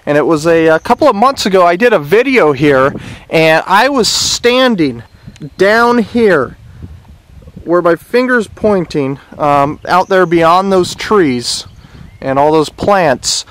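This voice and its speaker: A man giving a casual on-location report outdoors by a river, rambling with many "uh" fillers. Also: on the high side. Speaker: citizen reporter. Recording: outdoor recording with loud wind and river noise.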